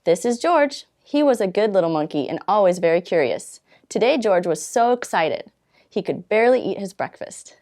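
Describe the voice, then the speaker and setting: A woman volunteer reading a children's storybook aloud, expressively, with dramatic pauses and drawn-out words. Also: medium pitch, very animated, darkish tone.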